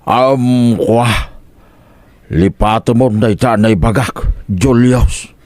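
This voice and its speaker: Man playing slow-talking, exasperated Ilocano father. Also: medium pitch, a little mellow, close to an everyday voice. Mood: troubled. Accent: Filipino, Ilocano. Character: exasperated old Ilocano father, blunt, disapproving. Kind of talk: Ilocano radio comedy drama acting.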